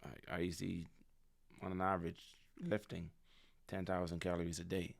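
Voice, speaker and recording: A man of about thirty talks through his thoughts slowly and calmly, circling back over his words. His voice sits very low with a gravelly fry. He is close on a studio mic in a plain office room. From Bahamas. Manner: relaxed, reflective, repeats words as he thinks. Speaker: podcast guest. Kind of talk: casual conversation. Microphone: studio mic on a stand.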